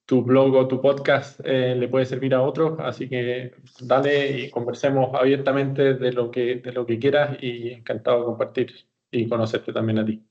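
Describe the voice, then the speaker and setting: Even-toned Chilean man in his forties. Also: medium pitch, moderate pace, dull audio. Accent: Chilean Spanish. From Santiago, Chile. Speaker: podcast guest, company executive. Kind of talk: conversational interview answers, personal recollection.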